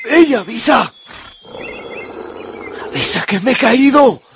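High-pitched, urgent dog owner. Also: male voice, animated, takes it slowly, fairly bright tone, a little hiss or hum, heavily acted. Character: male dog owner shouting urgent commands. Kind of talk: radio drama acting.

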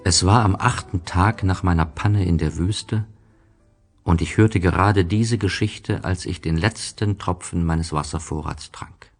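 Male literary narrator: low register, very slow pacing, reflective and measured scripted reading. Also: faint music behind.

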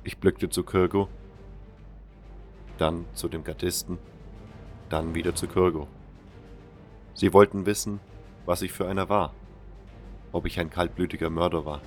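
A man reading scripted first-person narration for a fan fantasy audio drama in a measured, sober storytelling manner. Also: deep voice, steady tone, faint music behind.

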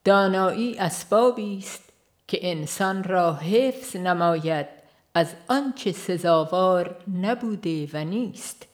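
A woman reads a religious book as a formal audiobook narrator, in a solemn, measured way. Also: lowish voice. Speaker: audiobook reader.

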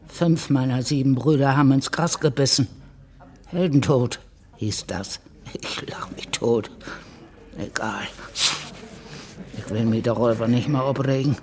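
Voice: mid-range voice, animated, unhurried, pauses often, breathy edge. A performer playing an ancient, deaf village innkeeper woman, blunt and sardonic, with a folksy northern German accent, in an audio drama.

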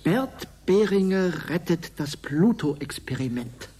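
An actor playing an inquisitive, impatient investigator in a science fiction radio play, halting and repeating his own words. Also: high voice, very animated, mellow, exaggerated voice. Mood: probing, hesitant.

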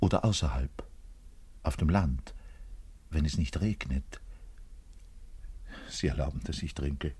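An actor playing an embittered, self-pitying middle-aged double bassist in a rambling, theatrical radio monologue, pedantic and given to muttering and indignant declaiming, with a husky voice. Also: male voice, unhurried, long pauses, darkish tone, slight background noise. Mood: hesitant, wistful. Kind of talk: theatrical radio play monologue, confiding to a listener.

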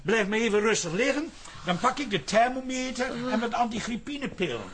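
Excitable, fussy husband, high voice. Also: male voice, a little fast, a little hiss or hum. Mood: coaxing. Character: fussy, devoted husband, exasperated yet tender. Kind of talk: comic radio drama acting.

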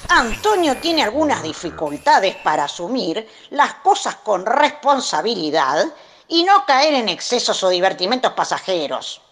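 A woman's voice: mid-range voice, big pitch swings, a little slow, a bit bright. A woman playing a pompous, manipulative grandfather reading his formal letters in an audio drama. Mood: condescending.

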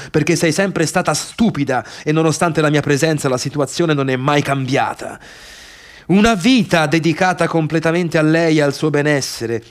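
An actor playing a middle-aged failed pianist, bitter and obsessive, in a scornful, sarcastic theatrical monologue about the sister who left him. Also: male voice, a little high, close to an everyday voice. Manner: scornful, sarcastic, brooding, swinging between muttering and outbursts.

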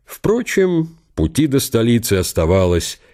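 A man narrating a historical story for a radio play, deliberate and broken into short phrases. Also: medium pitch, sing-song, warm tone.

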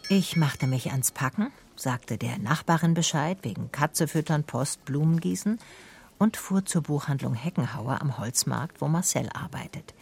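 A woman's voice: low-pitched, expressive, moderate pace, vocal fry, darkish tone. An actress playing a female private detective who narrates her case in a dry, matter-of-fact radio drama style. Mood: matter-of-fact narrating.

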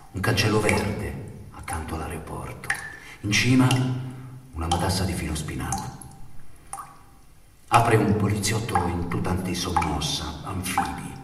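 An actor playing a grave, brooding narrator of a migrant's journey in a theatrical monologue, speaking in halting, intense phrases. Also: male voice, sits low. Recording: echoey hall from far off, noisy.

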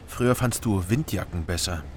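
Actor as low-voiced, laconic East German investigator. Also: male voice, normal speed, a little hiss or hum, close to an everyday voice. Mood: wry doubt. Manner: laconic, wry. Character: dry, sceptical East German male investigator, man of few words. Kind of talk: naturalistic German radio drama acting.

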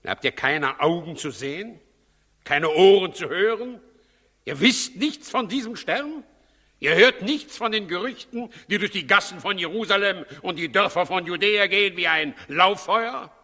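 A very sharp, forceful voice in a long-reverberating hall: an imperious tyrant king in a 1960s radio drama. He commands and questions with impatient sarcasm and suspicion, always ready to threaten. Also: high voice, animated, normal speed, exaggerated voice. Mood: impatient, demanding. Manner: commanding, sarcastic, menacing interrogation. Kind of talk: 1960s German radio play acting.

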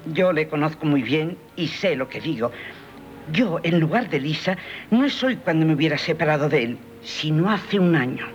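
A woman's voice: low voice, animated, normal speed. An actress playing a stern, disapproving middle-aged mother in a 1970s radio drama, emphatic and sardonic, with a Castilian Spanish accent. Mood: scornful. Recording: dull vintage radio recording, faint music.

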